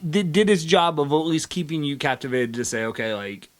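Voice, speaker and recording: Animated man hosting a casual vlog. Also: a little high, fairly quick. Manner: upbeat coaxing, addressing viewers as gang. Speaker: host of a comic book video series. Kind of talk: casual vlog chat, interviewing a partner.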